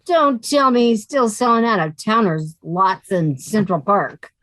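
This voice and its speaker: A woman's voice: medium pitch, unhurried, a bit bright, no echo, lightly acted. A woman playing a sensible, wisecracking young woman with a dry, sardonic delivery in a radio comedy.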